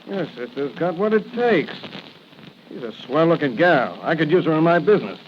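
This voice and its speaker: A cold-blooded old-radio loan shark, brusque and full of slangy threats. The voice is a bit high, on a dull recording with light noise. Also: male voice, sing-song, normal speed. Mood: sneering. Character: cold, sneering loan shark in a crime story. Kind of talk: 1940s radio gangster acting. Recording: muffled, faintly noisy vintage radio broadcast.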